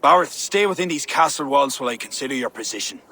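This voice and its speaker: A man's voice: medium pitch, lively intonation, fast, fairly bright tone, husky. A man playing a stern, suspicious king in a video game, imperious and dramatic in his delivery. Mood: suspicious, brooding.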